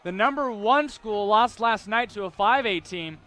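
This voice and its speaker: A man's voice: sits high, normal speed, a bit bright. A young man giving live play-by-play commentary as a sports announcer at a game.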